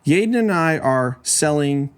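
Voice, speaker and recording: A man's voice: fairly high pitch, expressive, a little slow, clean tone. Man in his forties explaining money. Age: 40s. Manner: conversational, explains numbers step by step, asks rhetorical questions. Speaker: podcast host. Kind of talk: casual podcast monologue.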